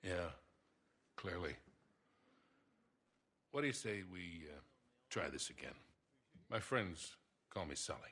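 An actor playing a gruff, worldly older American treasure hunter in a video game cutscene, with a gravelly, wry drawl. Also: male voice, deep voice, lively intonation, slow pace, pauses often, bright, forceful tone, full character voice. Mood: wry, persuasive. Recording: music behind dialogue.

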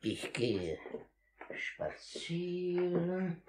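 A man's voice: sits high, sing-song, drawn-out words, creaky, darkish tone, slight background noise, full character voice. A man playing a sly, fawning old master thief, cackling and singsong, in a 1950s German radio drama. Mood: playful, sly. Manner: theatrical, cackling, repeats words in a singsong.

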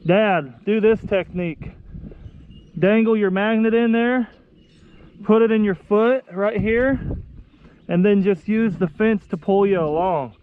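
A young American man chatting casually to viewers in a folksy, excitable way while out on the water. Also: sits high, unhurried, clear, resonant tone. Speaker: vlog host. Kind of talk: casual outdoor vlog chat. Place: outdoors on a kayak under a bridge. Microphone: action camera. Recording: muffled action camera audio with outdoor noise.

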